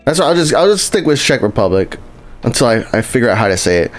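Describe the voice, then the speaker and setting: A man streaming a game live, his voice sitting at an ordinary middle pitch. He rambles in a loose, friendly way and keeps restarting sentences as he talks to his chat. Faint music plays underneath. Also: big pitch swings, quick pace. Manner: rambling and chatty, lots of restarts, greets chat viewers. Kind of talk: casual live-stream commentary while playing.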